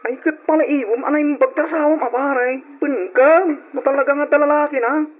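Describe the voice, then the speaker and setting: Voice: high voice, fairly even intonation, moderate pace, close to an everyday voice. An actor playing a skeptical, concerned close friend in an Ilocano radio drama. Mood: concerned, doubting. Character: skeptical, concerned close friend urging caution over gossip. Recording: muffled, noisy old radio recording.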